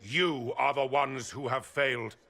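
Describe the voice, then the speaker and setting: An actor playing an arrogant, contemptuous templar commander in a fantasy game, speaking with haughty scorn. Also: male voice, medium pitch, steady tone, measured pace, gravelly, pushed and bright. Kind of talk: fantasy game cutscene acting.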